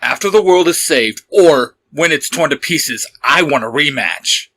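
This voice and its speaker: Combative, taunting superhero rival, acted by a man, sharp highish voice, for fan fiction audio. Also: animated, measured pace, exaggerated voice, rough recording.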